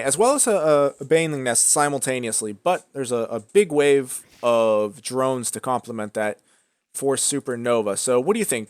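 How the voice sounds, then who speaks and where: A young man casting a strategy game match in a relaxed, chatty way, with frequent fillers and restarts. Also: mid-range voice, no room sound. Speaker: esports caster. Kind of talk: casual play-by-play commentary.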